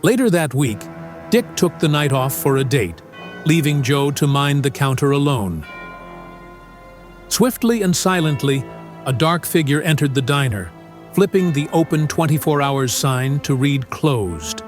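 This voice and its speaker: Male narrator, dramatic scripted storytelling, fairly high pitch, prominent music behind. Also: animated, a little slow.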